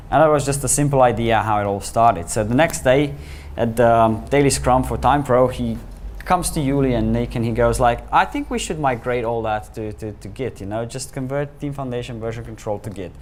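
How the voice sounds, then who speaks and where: A man's voice: mid-range voice, slight background noise. A man from Slovenia with a Slovenian accent, giving a conference talk as a solution architect and telling the story with chuckles and fillers. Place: meeting room with audience.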